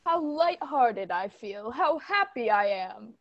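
A woman playing a cheerful, carefree father in an amateur group reading of a fairy tale, drawing her lines out slowly and gladly. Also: fairly high pitch, big pitch swings, dry recording, highs cut off, heavily acted. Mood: contented. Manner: slow, gladly drawn-out lines.

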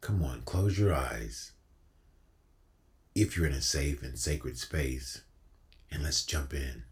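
A man with an exceptionally deep voice shares reflective, inspirational words. He takes his time, speaking slowly and earnestly. His delivery is that of a spiritual storyteller, meant to encourage. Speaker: featured spoken-word storyteller on a broadcast. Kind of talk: reflective inspirational talk and spoken-word poetry.

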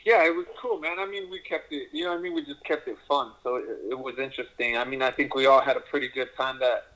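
A man's voice: mid-range voice, quick pace, fairly bright tone, low-quality recording. A man guest in a casual chat, rambling loosely with plenty of fillers and swearing. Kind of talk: casual chat with an old friend.

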